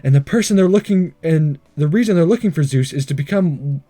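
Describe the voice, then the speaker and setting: A man's voice: fairly high pitch, expressive, brisk, dark tone. A man hosting a game playthrough, reading visual novel text aloud with jokey asides and the odd stumble.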